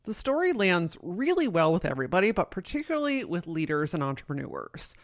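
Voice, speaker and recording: Deep-voiced woman, candid podcast monologue. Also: a little fast. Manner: candid, swears freely, animated storytelling. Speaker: podcast host and keynote speaker. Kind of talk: casual solo podcast monologue.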